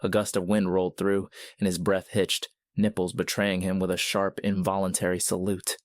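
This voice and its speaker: A man narrating a scripted story in a measured, literary manner. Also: low-pitched, level tone, no room sound, clean studio sound.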